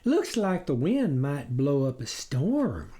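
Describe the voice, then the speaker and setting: A man's voice: on the high side, sing-song, slow, mellow. A man voicing a polite, practical farmer's wife in a storybook, homely and folksy. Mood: cautious. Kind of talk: storybook character reading.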